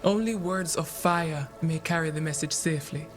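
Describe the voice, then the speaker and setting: An actor, a man, playing a solemn, cryptic seer in a fantasy video game, speaking in measured, oracular phrases. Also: on the high side, faint music behind, natural voice. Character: solemn Qunari seer, vessel for spirits, cryptic and grave.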